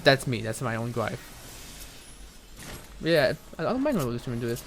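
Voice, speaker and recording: A man's voice: medium pitch, very animated, moderate pace, gravelly, fairly bright tone, slight background noise. A young man with a French accent, a native French speaker, chatting and rambling casually while streaming a game, with many fillers and restarts. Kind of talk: casual live gaming commentary.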